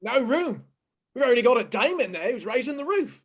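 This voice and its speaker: A bossy police sergeant played by a woman in an old-time radio drama. The voice is husky and fairly high, very animated, barking commands in slangy cop talk. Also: brisk. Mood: brisk, puzzled. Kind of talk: 1950s radio play acting.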